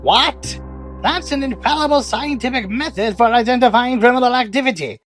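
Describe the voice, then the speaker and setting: A man voicing an excitable, insistent old former superhero's sidekick in a cartoon comic dub, urgent and dramatic. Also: very high-pitched, normal speed. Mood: indignant. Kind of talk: acted comic dub dialogue. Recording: music behind the voice.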